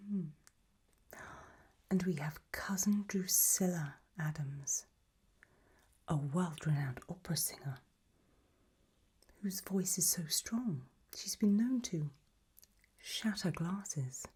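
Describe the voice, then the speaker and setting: A woman playing an elegant, macabre aristocratic lady in a whispered ASMR roleplay, breathy, languid and serenely gracious. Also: lowish voice, lively intonation, a little mellow, exaggerated voice. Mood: gracious, darkly pleased. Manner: slow, languid, genteel.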